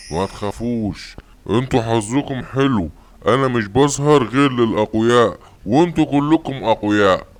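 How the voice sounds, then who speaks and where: A man's voice: expressive, faint music behind, lightly acted. A man voicing a grand, teasing male genie in a cartoon, speaking with an Egyptian Arabic accent. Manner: grand, slow and teasing with booming laughs. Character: booming magic male genie from a washing machine.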